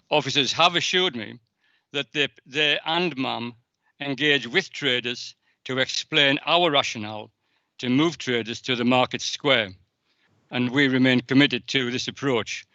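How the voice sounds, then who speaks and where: A man's voice: medium pitch, pushed and bright, dry recording. A man councillor giving a hesitant answer, full of ums and restarts. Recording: dull lo-fi dry audio.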